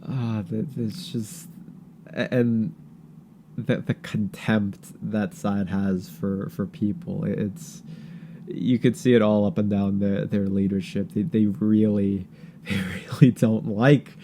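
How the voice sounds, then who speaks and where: A man co-hosting a podcast in casual political chat, halting and repeating words as he searches for his thoughts. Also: lowish voice, level tone, noisy background. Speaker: podcast co-host.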